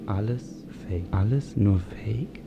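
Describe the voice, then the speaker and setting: An actor playing a sombre, poetic narrator in an experimental radio play. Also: male voice, on the low side, slow, vocal fry, lo-fi sound, background noise. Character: narrator of a young man's short life, sombre and poetic.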